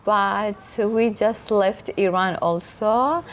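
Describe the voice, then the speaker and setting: A woman's voice: medium pitch, takes it slowly, slight background noise. A woman from Iraq who speaks simple English and often laughs while answering interview questions. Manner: simple non-native English, frequent laughter. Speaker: interview guest.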